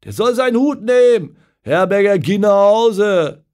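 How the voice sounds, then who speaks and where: A man voicing an outraged middle-aged football fan who rants and shouts at the match. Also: high-pitched, expressive, unhurried, voice pushed up. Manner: shouting, ranting dialect-tinged outbursts.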